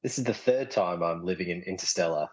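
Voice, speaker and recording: A man playing an easygoing, casually explaining film lover trapped in a movie, in a comedy radio play, with a husky voice and long reverb. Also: sits low, fast, a little mellow. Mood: casual, explanatory. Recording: long reverberant hall echo.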